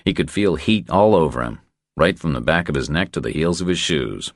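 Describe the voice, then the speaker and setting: Super deep guy reading a thriller audiobook, measured storytelling, really dry sound. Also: lively intonation, no echo. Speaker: audiobook narrator. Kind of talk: scripted narration of a crime thriller.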